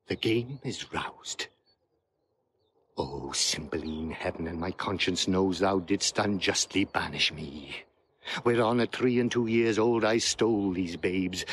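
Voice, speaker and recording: A man's voice: on the low side, a bit bright, slight background noise. An actor playing a proud, aggrieved older banished lord and foster father in declamatory classical Shakespearean audio drama.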